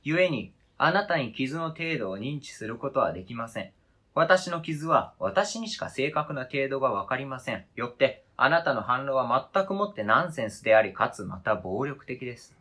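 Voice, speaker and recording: A man's voice: medium pitch, sing-song, normal speed, pushed and bright. A man acting in a radio drama reading as a wounded, indignant adult who argues with cold, pedantic logic and interrogates relentlessly. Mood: stern, interrogating. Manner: pedantic, interrogating, formal argumentative phrasing.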